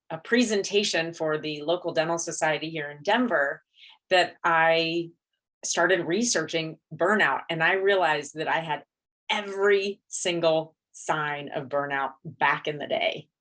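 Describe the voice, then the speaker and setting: A woman's voice: sits low, fairly bright tone. A middle-aged woman who is a dentist, giving a candid, reflective talk at a conference. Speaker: dentist and speaker.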